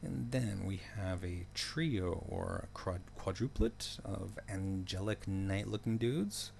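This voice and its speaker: A man with a low, very creaky voice narrates a story recap. His delivery is casual and conversational, peppered with wry asides. A faint hum sits behind the recording. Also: slow pace, a little mellow. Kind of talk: casual, loosely scripted story recap commentary.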